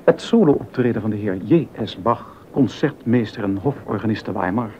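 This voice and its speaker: An actor playing a baroque musician's worldly, sympathetic and gossipy confidant in a period docudrama, measured and dryly amused. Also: male voice, on the low side, animated. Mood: brisk. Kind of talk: Dutch docudrama dialogue. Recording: dull sound with background noise.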